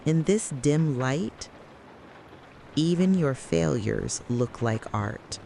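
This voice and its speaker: A narrator delivering a scripted, dramatic late-night soliloquy in a deliberate, brooding way, speaking straight to the listener. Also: mid-range voice, lively intonation, creaky, mellow, slight background noise. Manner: slow, deliberate, brooding second-person address.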